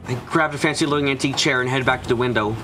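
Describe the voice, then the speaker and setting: A man's voice: medium pitch, fairly even intonation, rapid-fire, music in the background, lightly acted. A man voicing an anxious, frightened narrator trapped and desperate, in a first-person visual novel fan dub. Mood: desperate, frantic. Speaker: voice actor narrating.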